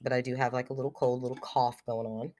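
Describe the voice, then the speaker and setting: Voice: mid-range voice, level tone, fast talker, darkish tone. A young podcast host with a cold and a cough drop in the mouth, talking frankly in a casual solo monologue with plenty of fillers and swearing. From United States. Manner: frank and blunt, swears freely, many fillers like "like" and "um".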